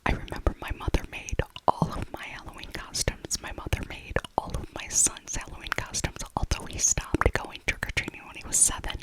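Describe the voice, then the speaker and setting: A woman's voice: brisk, hardly any pauses, low-quality recording. Whispering middle-aged woman, ASMR. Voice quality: soft, breathy whisper. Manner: chatty, repeats phrases for emphasis. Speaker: ASMR video creator. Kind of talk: ASMR whispered voiceover, casual rambling narration.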